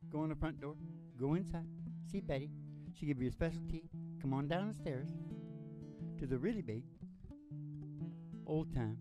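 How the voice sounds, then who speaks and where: An American man in his sixties hosting in a folksy, rambling, theatrical old-time showman way, close on a stage microphone. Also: fairly high pitch. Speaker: host and singer of a country music show. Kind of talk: folksy rambling stage patter over guitar. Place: small curtained studio, seen indoors. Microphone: stand microphone, close. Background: acoustic guitar.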